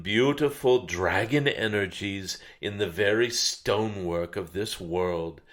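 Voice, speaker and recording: Casting: male performer as an ancient, benevolent dragon spirit; lowish pitch, very unhurried, solemn channeled address with pensive hums. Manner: measured, deliberate, frequent pauses and thoughtful hums.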